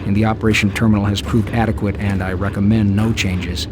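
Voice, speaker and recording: A male actor as an overworked engineering manager on an off-world base in a video game. He dictates formal, businesslike logs in a fairly low voice. Noticeable noise runs behind him. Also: level tone, quick pace, natural voice. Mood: formal, matter-of-fact. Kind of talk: video game audio log acting.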